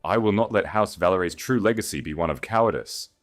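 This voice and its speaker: A man's voice: sits low, brisk, soft background music, pitched above the performer's own voice, lightly acted. A man reading as a regal, resolute princess. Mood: quiet resolve. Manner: firm, regal, cold. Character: proud, quietly resolute young exiled princess. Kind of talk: audiobook character voice.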